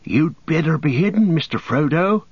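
A man's voice: a little high, normal speed, husky, no echo, pitched above the performer's own voice. A man voicing a loyal young hobbit who speaks anxiously and protectively, on a dull lo-fi audiobook recording.

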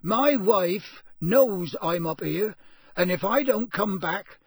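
Man playing gruff, defiant old man, raised voice. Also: sits high, lively intonation, slow, no room sound, voice pushed up, heavily acted. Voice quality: rough. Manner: blunt, defiant, emphatic. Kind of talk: audiobook character voice.

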